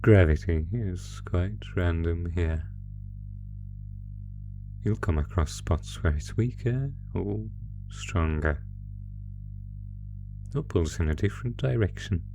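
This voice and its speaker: A man voicing a sinister, sadistic monster from under the bed in a horror roleplay, taunting his prey in a deliberate, mock-genteel, theatrical way. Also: sits low, gravelly, mellow, full character voice. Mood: ominous, amused. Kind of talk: horror roleplay audio, slow theatrical menace. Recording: music under the voice. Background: music.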